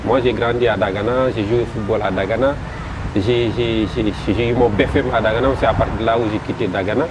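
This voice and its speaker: A man with a Senegalese French accent, a festival promoter describing his event in an interview, halting and repeating words as he goes. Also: fairly low pitch, fairly even intonation. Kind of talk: interview answers. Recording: noisy background.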